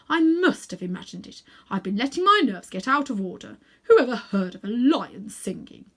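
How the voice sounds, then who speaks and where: A woman voicing a selfish, cowardly old gentleman in a storybook, muttering with indignation and self-pity. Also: a little high, very animated, moderate pace. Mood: dismissive. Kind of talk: storybook character voice.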